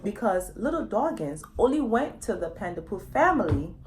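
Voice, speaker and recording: A woman's voice: mid-range voice, very animated, moderate pace, slight background noise. A woman reading her children's book aloud in a dramatic storytime style, often putting questions to young listeners.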